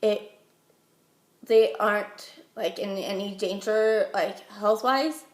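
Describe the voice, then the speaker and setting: A woman's voice: medium pitch, steady tone, slow pace. Young American woman in an echoey room. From Utah, United States. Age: young adult. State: getting over a head cold. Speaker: job applicant answering interview questions. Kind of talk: informal phone interview answers. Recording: roomy echo.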